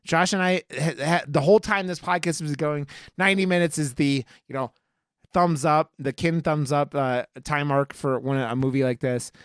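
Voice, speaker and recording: Man rambling excitedly, up close and dry. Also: a little high, moderate pace, clean tone, no room sound. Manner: rambling, excitable, swears freely. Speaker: podcast co-host reviewing horror movies. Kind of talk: casual chat.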